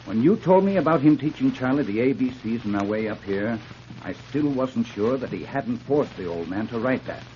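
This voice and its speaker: A man's voice: medium pitch, expressive, moderate pace, darkish tone, close to an everyday voice. An actor playing a humbled, plain-spoken frontiersman with an earnest, folksy delivery in old-time radio drama style. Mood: earnest, contrite. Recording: muffled, noisy vintage radio recording.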